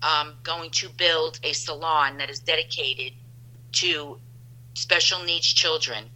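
A woman's voice: low voice, steady tone, slow, pushed and bright, poor audio. A woman in her fifties, a podcast guest talking in an upbeat, rambling way with many restarts. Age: 50s. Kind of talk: casual chat.

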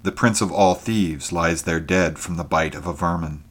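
A young barbarian thief answers in short, blunt words, as a male narrator voices him. The voice is very deep and plain. It is clipped and to the point. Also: normal speed. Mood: curt. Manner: curt, plain-spoken.

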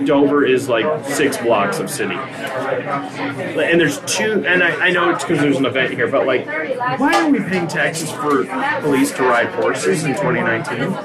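A young man chatting casually, joking and wry with animated asides. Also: medium pitch. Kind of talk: casual chat. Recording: crowd noise behind.

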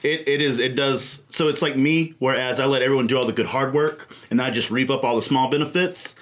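A man's voice: medium pitch, steady tone, fairly quick, slight background noise. A man co-hosting a podcast, explaining things in a snarky, swearing, offhand way. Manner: snarky, swearing, laughing asides. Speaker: podcast co-host. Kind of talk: casual chat.

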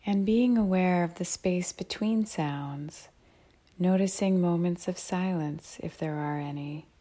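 Slow, low, mellow woman guiding meditation. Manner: soft, calm, measured instruction with long phrases and pauses. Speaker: meditation guide. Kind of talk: guided mindfulness meditation.

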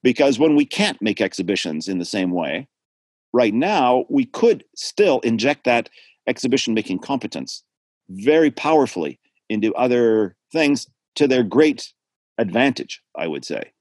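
A man's voice: mid-range voice, moderate pace, no room sound. A man speaking thoughtfully and at length as a guest in a discussion, over a video call from home. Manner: thoughtful, digressive, lively intonation. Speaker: art theorist guest. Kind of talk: reflective spoken lecture-like talk.

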